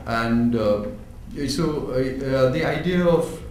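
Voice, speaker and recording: A man's voice: medium pitch, slow. Indian-born man, panel author, eager and stop-start; roomy hall with light hiss. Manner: lively, restarting, enthusiastic. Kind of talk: panel discussion. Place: event hall. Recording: echoey hall with faint noise.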